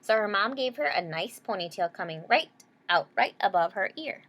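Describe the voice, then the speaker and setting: A woman's voice: mid-range voice, lively intonation, moderate pace, a bit bright. A woman reading a children's picture book aloud expressively, with explanatory asides to young listeners. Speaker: storybook reader.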